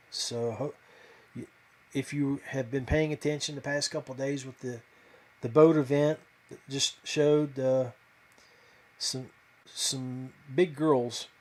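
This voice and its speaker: A man hosting a casual fishing podcast, talking off the cuff in a halting way and speaking straight to his listeners. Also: medium pitch, fairly even intonation, a little mellow. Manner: halting, conversational, addresses listeners directly. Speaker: podcast host. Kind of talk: casual live fishing talk and commentary.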